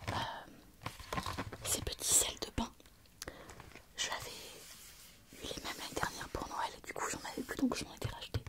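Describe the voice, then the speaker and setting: A woman with a head cold, softly whispering through an ASMR show-and-tell with many pauses and fillers. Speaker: ASMR creator.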